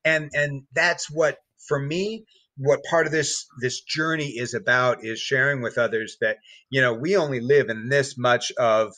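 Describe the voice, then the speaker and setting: Guy on a podcast, bright forward voice, really dry sound, walks you through stuff step by step. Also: mid-range voice, fairly even intonation, a little slow, dry recording. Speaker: podcast guest. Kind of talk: casual explanatory conversation.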